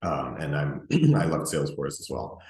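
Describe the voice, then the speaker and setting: Very low male voice, relaxed webinar presenter, roomy echo. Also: moderate pace. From Russia, grew up in the US. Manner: relaxed, conversational, many ums and restarts. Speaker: presenter and group organizer.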